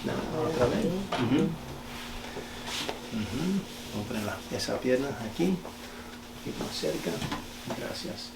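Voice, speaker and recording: A man working as a chiropractor, calmly guiding a patient through treatment with short, polite, reassuring phrases. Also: mid-range voice, unhurried, vocal fry, long pauses. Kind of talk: calm hands-on treatment instructions to a patient. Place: echoey indoor treatment room. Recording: echoey room with loud background noise.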